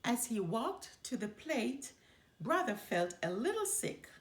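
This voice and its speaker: A woman reading a children's storybook aloud with expressive, dramatic delivery. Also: on the high side, takes it slowly. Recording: roomy echo.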